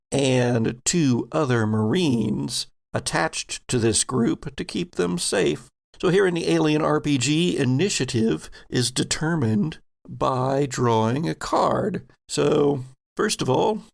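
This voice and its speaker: Slow, creaky-voiced man as game master. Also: mid-range voice, no room sound, clean studio sound. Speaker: game master and podcast host. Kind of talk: narrating an actual-play tabletop game.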